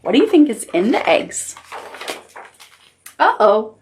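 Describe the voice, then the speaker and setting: A woman's voice: on the high side, very animated, measured pace, a little mellow, a little hiss or hum. A woman hosting a toddler storytime as a children's librarian, reading aloud expressively in simple child-directed language with little asides.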